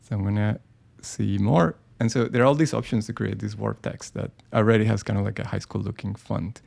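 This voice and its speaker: Gravelly, mellow man thinking aloud. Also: lowish voice, fairly even intonation, brisk. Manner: casual, thinking aloud with many ums and restarts. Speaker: design software presenter. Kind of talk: live screen-share tutorial.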